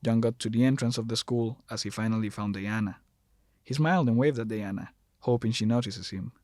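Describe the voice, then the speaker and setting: A man's voice: lowish voice, fairly quick, a little mellow, dry recording, studio quality. A man reading scripted third-person narration for a dramatic audio story.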